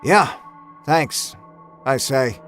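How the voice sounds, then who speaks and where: A man's voice: medium pitch, animated, drawn-out words, music in the background. A man narrating a scripted first-person horror story in a wry, understated, dramatic way. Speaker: audio fiction narrator. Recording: narration over musical score.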